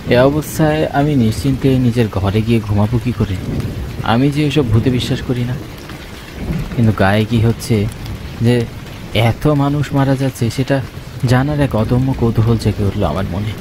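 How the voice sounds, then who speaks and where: Male narrator, mid-pitched, suspenseful first-person horror storytelling, dramatic scripted read, rain and thunder noise behind. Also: moderate pace, pauses often. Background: rain and thunder sound effects.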